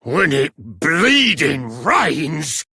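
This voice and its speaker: Cheeky game bandit, Cockney, raspy, bright and forceful, slow-paced, roguish. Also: male voice, on the high side, big pitch swings, no room sound, clean studio sound, full character voice. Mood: pleading. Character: cheeky roguish bandit boss, slippery and pleading when cornered. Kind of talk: video game character acting.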